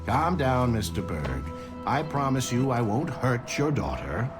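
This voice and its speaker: Slick menacing exec bad guy for a game, talks down to you, pitch swings all over, loud music behind. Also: sing-song. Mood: coolly menacing. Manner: condescending, measured, falsely soothing. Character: smooth, coldly menacing corporate executive villain. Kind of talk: dramatic video game cutscene acting.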